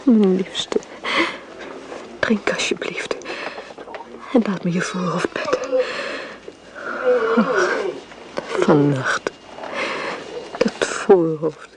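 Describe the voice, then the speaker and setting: A woman's voice: fairly low pitch, lively intonation, extremely slow, long pauses, very noisy. An actress playing a tender, anguished married woman in a radio drama. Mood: tender, grieving. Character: tender, anguished married woman devoted to her wounded lover. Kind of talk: Dutch radio drama acting.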